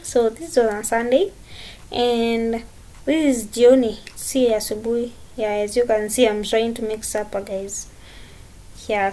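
A young woman with a Kenyan accent talks casually, as if to her regular vlog viewers. Her voice sits fairly high yet has a very mellow, soft-edged tone. She speaks in an informal, conversational way. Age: young adult. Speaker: vlogger. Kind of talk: casual vlog chat, mixing English and Swahili.

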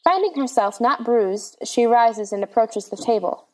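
A woman's voice: on the high side, lively intonation, unhurried, rough recording. A woman reading scripted narration of stage directions for a play.

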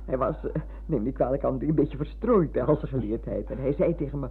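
A woman's voice: extremely low pitch, quick pace, breathy edge, heavily acted. An actress playing a motherly, talkative older woman in a radio play, effusive and given to digressions. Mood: fondly reminiscing. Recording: narrow-band, noisy, humming old radio recording.

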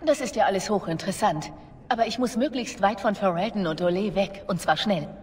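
A woman's voice: medium pitch, brisk, darkish tone, close to an everyday voice. A woman voicing a wary, wronged young bard in a video game, with guarded, questioning delivery. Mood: puzzled, impatient. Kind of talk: dramatic video game voice acting. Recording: long reverb, music behind.

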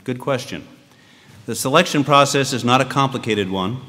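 A middle-aged American man giving a measured, dryly humorous introduction at a formal school ceremony. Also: mid-range voice, sharp tone. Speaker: school official introducing a student speaker. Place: podium on a stage in a large hall. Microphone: podium microphone. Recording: echoey large hall, long reverb.

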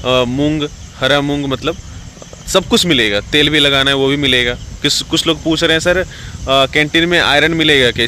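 Young guy vlogging on his phone under some trees, bright voice, reassuring advice, faint bugs in the background. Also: mid-range voice, slight background noise. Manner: reassuring, repeats "ठीक है" often. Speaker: vlogger giving advice to recruits. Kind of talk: casual advice vlog. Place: outdoors, green rural spot under trees. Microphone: phone selfie at arm's length.

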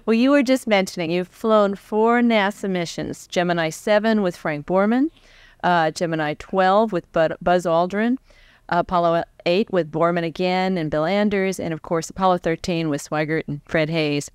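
An American woman in her forties interviewing a guest on stage in a chatty, animated, conversational way. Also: lowish voice, moderate pace, smooth, no room sound. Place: on stage before a live audience. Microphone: large microphone on a stand, close.

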